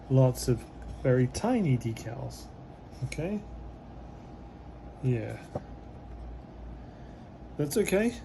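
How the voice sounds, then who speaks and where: Slightly hoarse man unboxing a kit. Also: mid-range voice, a little hiss or hum, rough recording. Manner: relaxed and rambling, many fillers. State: slightly hoarse, losing his voice. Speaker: model kit reviewer. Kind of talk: casual unboxing commentary.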